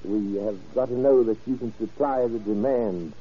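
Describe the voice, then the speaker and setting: A suave, formal crime-drama businessman in an old radio play. His voice is very dark and soft-edged, with a husky grain. He chooses his words deliberately and politely, and the recording is dull and muffled. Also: on the low side. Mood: businesslike, guarded. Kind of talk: 1940s radio drama acting.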